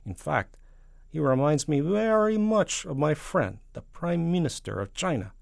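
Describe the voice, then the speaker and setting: A man voicing an indignant Soviet premier in a children's story, formal and deliberate in his stresses. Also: on the high side, animated, vocal fry, dry recording, studio quality, voice pushed up. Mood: protesting, sly.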